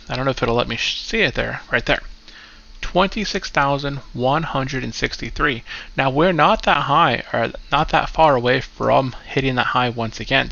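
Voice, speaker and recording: A man runs through his portfolio for his channel, congested and a bit stuffy. His voice is bright and forceful with a gravelly edge. The recording sounds dull and lo-fi. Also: mid-range voice. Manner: upbeat, keeps saying "go ahead". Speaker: investing channel host. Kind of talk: casual finance vlog walkthrough.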